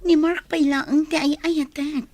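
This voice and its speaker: High-voiced, lovesick young daughter. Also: female voice, fairly even intonation, measured pace, a little mellow, no room sound. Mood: longing. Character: lovesick, reluctant young daughter pleading with parents. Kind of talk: Ilocano radio drama acting.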